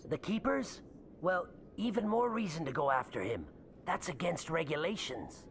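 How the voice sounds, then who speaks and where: A man's voice: on the high side, lively intonation, moderate pace, slight background noise, natural voice. An actor voicing an anxious, scheming alien man in a video game, agitated and pleading. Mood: conniving. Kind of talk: video game character acting.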